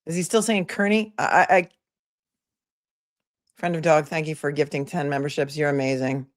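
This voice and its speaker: A woman's voice: deep voice, steady tone, moderate pace. A woman hosting a live stream, commenting on a court hearing with sarcastic, indignant remarks and thanking viewers. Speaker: livestream host and commentator.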